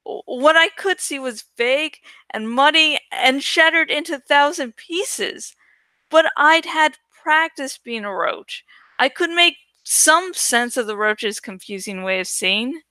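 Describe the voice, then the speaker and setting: A woman with a bright, high voice reads a young adult story aloud. She takes it slowly and deliberately, giving it dramatic expression, and the recording is dry and close. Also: expressive, dry recording. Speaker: audiobook reader. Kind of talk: expressive reading of a young adult novel in first person.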